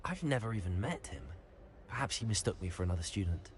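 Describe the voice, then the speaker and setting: An actor playing a polite, earnest young wizard student in a video game. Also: male voice, on the low side, expressive, fast talker, a little hiss or hum, natural voice. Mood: earnest, curious. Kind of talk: video game character acting.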